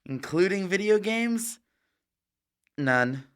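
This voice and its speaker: A woman's voice: medium pitch, expressive, slow pace, smooth. A woman playing a nervy, wisecracking teenage student sidekick in a comedic audio drama, glib and jokey. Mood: glib, eager.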